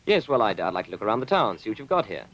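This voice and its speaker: A man's voice: fairly low pitch, big pitch swings, rapid-fire, a bit bright, muffled recording, a little hiss or hum. An actor playing a brash, overconfident publicity man in a film comedy, with a breezy, glib manner.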